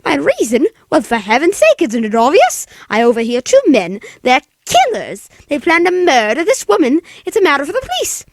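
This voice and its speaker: A man's voice: very high voice, huge pitch swings, moderate pace, few pauses, no room sound, heavily acted. A man voicing a demanding, hysterical wealthy invalid woman in an old radio play, imperious and exasperated. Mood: agitated. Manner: imperious, exasperated, breathless urgency. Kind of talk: 1940s radio play acting.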